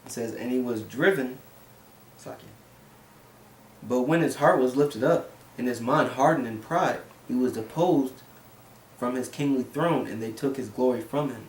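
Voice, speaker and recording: Guy reading bible verses out loud, echoey room, kinda far from the mic. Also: mid-range voice, moderate pace. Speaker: scripture reader. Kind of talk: reading scripture aloud with comments. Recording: echoey room, distant mic, faint hiss.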